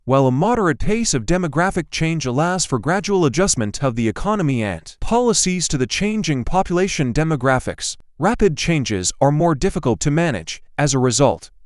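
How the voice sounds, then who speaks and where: Man, formal scripted reading, slightly high pitch, lively intonation, measured delivery, dry acoustics. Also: dry recording. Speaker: narrator of English test practice passages. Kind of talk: scripted read-aloud.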